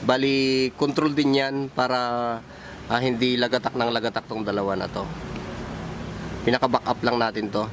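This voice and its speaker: A Filipino man presents a hands-on technical demonstration in an informal style. His pitch sits in the middle range for a man. He speaks in halting pieces, thinking aloud as he points to each part, with clear vehicle and outdoor noise behind him. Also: little pitch movement. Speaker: electronics hobbyist presenter.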